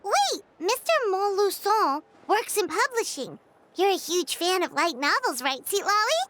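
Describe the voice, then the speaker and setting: A woman's voice: very high-pitched, very animated, unhurried, a bit bright, heavily acted. A woman voicing a small, childlike companion creature in a video game, chirpy, informal and frank. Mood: curious, eager.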